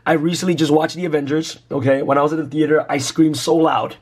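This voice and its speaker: Fast-talking raspy young man, hyped. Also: on the high side, steady tone, a little mellow. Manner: hyped, repeats "you guys" constantly. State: raspy voice from screaming. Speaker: YouTube card game channel host. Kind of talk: excited deck profile talk to camera.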